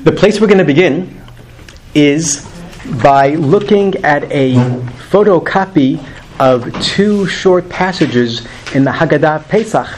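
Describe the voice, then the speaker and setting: A man's voice: a little high, measured pace, a little hiss or hum. A man in his fifties teaching a weekly Torah class to a group, earnest and emphatic, lifting his voice on key words. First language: not English.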